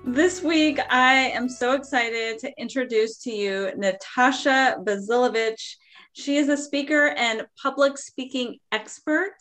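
A woman's voice: high-pitched, measured pace, a bit bright, faint music behind. A woman hosting a podcast, introducing and interviewing her guest with upbeat enthusiasm. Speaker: podcast host.

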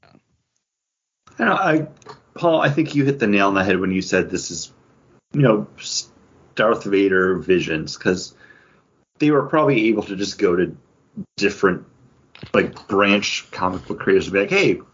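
A man's voice: on the low side, expressive, measured pace, long pauses, fairly bright tone, breathy edge, muffled recording. A man co-hosting a podcast in loose, casual conversation. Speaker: podcast co-host reviewing comics. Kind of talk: casual chat.

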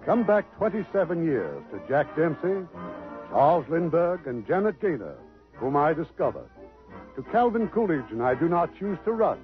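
A man's voice: fairly high pitch, big pitch swings, moderate pace. A man hosting and narrating the setup of a 1950s radio drama. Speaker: radio host and producer. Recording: muffled old radio broadcast with music.

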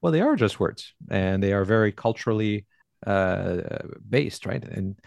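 Friendly Quebec French man, measured. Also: lowish voice, expressive, creaky, darkish tone, dry recording, clean studio sound. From Quebec, Canada. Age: middle-aged. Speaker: guest, naturist park owner. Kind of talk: casual interview chat.

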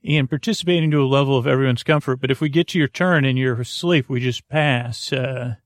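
A man hosting a podcast with a creaky, gravelly voice, improvising a hesitant, meandering bedtime monologue full of tangents, close on the mic. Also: medium pitch, a little fast, no room sound. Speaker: podcast host.

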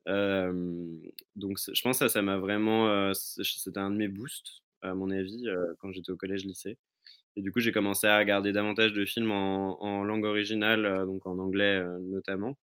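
Guest, young man, very low voice, hesitant and chatty over a remote call. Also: flat delivery, moderate pace, a bit bright, clear, resonant tone. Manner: rambling, many fillers and restarts. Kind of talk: casual remote chat.

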